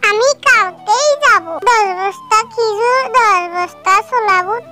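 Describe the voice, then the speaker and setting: A performer voicing an eager, dutiful young male assistant in a children's cartoon, brisk and reassuring, with loud music over the voice. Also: very high-pitched. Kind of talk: children's fairy-tale cartoon dialogue.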